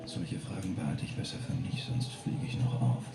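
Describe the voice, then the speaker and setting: An actor playing a gruff, wary male newcomer with a gravelly voice. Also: low voice, a little fast. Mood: guarded. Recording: echoey, distant game audio. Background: loud game music.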